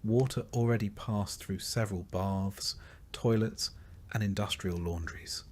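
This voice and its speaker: Low-pitched man narrating with measured drama. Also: a little mellow. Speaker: narrator of history sleep stories. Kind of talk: scripted second-person documentary narration.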